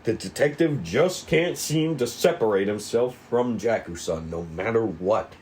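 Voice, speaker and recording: Guy reading script directions aloud, deeper voice, bit of background noise. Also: animated, a little slow, a little mellow. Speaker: narrator of script table read. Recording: noisy room recording.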